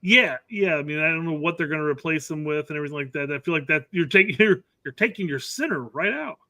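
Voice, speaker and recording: Bright-voiced American male soccer co-host. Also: on the high side, expressive, quick pace. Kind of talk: casual chat, relaying viewer comments.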